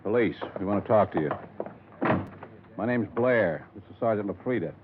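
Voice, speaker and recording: Male actor as suspicious policeman, low-set voice, curt questioning, muffled vintage radio drama. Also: moderate pace, close to an everyday voice. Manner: curt, authoritative. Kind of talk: 1950s radio drama acting. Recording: dull, noisy 1950s radio recording.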